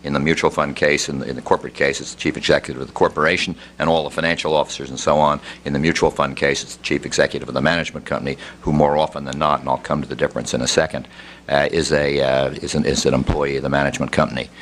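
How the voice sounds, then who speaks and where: A man's voice: very deep voice, little pitch movement, rapid-fire, a bit bright, slight background noise. An older man answering at length on a panel, discursive and often restarting his phrases. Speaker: panel speaker and former fund executive.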